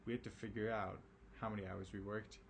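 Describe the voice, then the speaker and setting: A man teaching a recorded algebra lesson, his voice raspy and tired from a late hour, talking casually with lots of ums and okays. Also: lowish voice, level tone, a little fast, a little hiss or hum. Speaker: math teacher.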